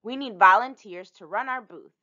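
A woman's voice: medium pitch, normal speed, sharp tone, lo-fi sound, close to an everyday voice. A woman voicing an encouraging male science teacher in a storybook, speaking in a kindly, instructive way.

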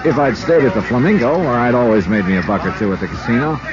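Actor as breezy 1950s radio detective, lively American. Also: male voice, mid-range voice, fast talker, muffled recording, close to an everyday voice. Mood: rueful narration. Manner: breezy, wry, clipped storytelling delivery. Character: wisecracking freelance insurance investigator, fond of fishing. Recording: band-limited 1950s radio recording with music.

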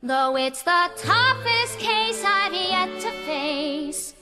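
A very high, unhurried voice of a bubbly, self-satisfied popular young woman in a stage musical. She is gushing, theatrical and condescending, over loud tinkling music. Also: expressive, exaggerated voice. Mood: smug, gushing. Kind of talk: musical theatre dialogue and song. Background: loud tinkling musical accompaniment.

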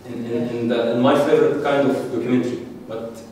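A middle-aged man with an Egyptian Arabic accent, Arabic native, giving an informal lecture to an audience, halting and repeating words. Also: medium pitch, fairly even intonation, mellow. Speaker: masterclass speaker. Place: dark screening room with audience. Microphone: handheld mic, filmed from the back of the room. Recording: echoey room, distant mic, faint hiss.

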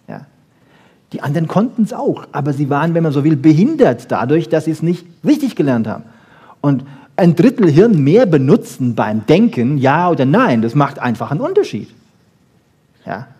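A man lectures in an informal, didactic style. His voice sits slightly high for a man, with a soft, dark tone and a husky edge. He stresses his points firmly and often tags them with a brief check-in question. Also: sing-song, moderate pace. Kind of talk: informal public lecture on research.

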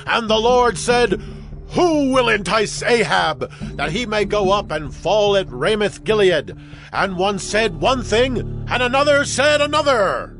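Defiant ancient prophet, audio Bible drama, high male voice, very varied pitch, declamatory, solemn. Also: a bit bright, full character voice, rough recording. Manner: declamatory, drawn-out proclamation.

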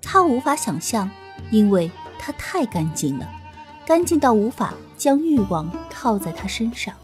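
Female audiobook narrator, lively intonation, measured pace, faint music behind. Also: a little high. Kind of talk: expressive scripted novel narration.

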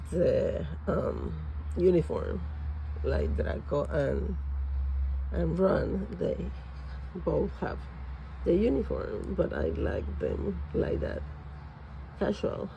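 A woman with as her talks slowly through a toy unboxing at home, and her voice is very gravelly and raspy. Her tone is mellow and dark, and she gushes with excitement over each piece. Faint room noise sits behind her. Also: fairly low pitch, poor audio. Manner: excited, gushing over toys. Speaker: vlogger. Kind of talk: casual unboxing chat. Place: home, at a table.

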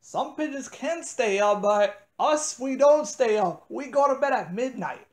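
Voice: medium pitch, expressive, measured pace, a little mellow. A person improvising a phone skit as a tired pigeon who wants to sleep, complaining and insisting. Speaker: prank call participant playing a pigeon.

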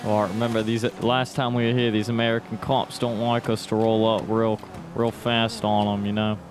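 A man's voice: lowish voice, little pitch movement, normal speed, natural voice. A man putting on an as a brash, joking bloke in improvised driving-game roleplay, swearing and matey. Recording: noisy, car engine sounds behind voice.

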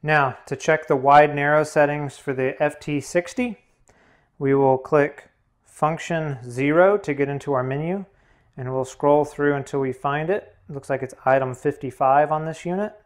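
A man's voice: fairly high pitch, moderate pace. A man narrating a hands-on tutorial, explaining plainly one step at a time. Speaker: instructor, demonstrator.

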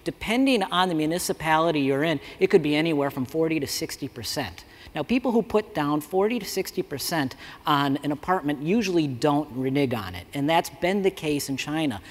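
A man's voice: a little high, animated, fairly quick. A man in his forties giving a conversational conference talk at a lectern mic, with many fillers and restarts. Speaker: conference speaker. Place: large conference hall. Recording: reverberant hall.

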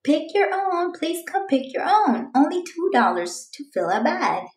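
A woman's voice: very high-pitched, very animated, unhurried, echoey room, voice pushed up, heavily acted. A woman voicing an eager, dramatic young girl who chats and exclaims through her journal in a children's storybook. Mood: cheerful, proud. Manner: exclamatory, chatty, dramatic announcements. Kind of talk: children's storybook read-aloud.

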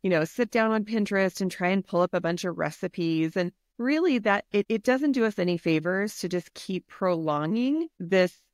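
A woman's voice: mid-range voice, animated, moderate pace, few pauses, dry recording, studio quality. A woman health and wellness coach, a podcast guest, talking in an earnest, encouraging, practical way. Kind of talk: podcast interview.

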